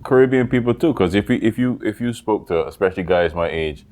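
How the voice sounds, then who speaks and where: A man with a low, velvety voice chats casually as a comedy podcast co-host. His pitch swings widely as he animatedly acts out little bits. The delivery is loose and playful. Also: brisk. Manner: animated, acts out quotes and sound effects.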